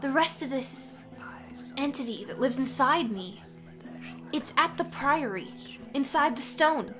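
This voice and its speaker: Man as frightened teenage village girl, fantasy audiobook. High, thin, breathy, earnest. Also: animated, a little slow, sharp tone, faint music behind, exaggerated voice. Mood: urgent, fearful.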